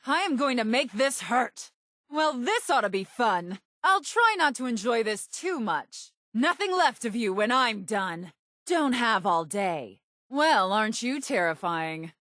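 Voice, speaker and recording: An actress voicing a cold, calculating raider gang leader in a video game, composed and formal, with measured, quietly menacing delivery. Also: female voice, on the high side, big pitch swings, dry recording, studio quality. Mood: menacing, combative. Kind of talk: video game character voice acting.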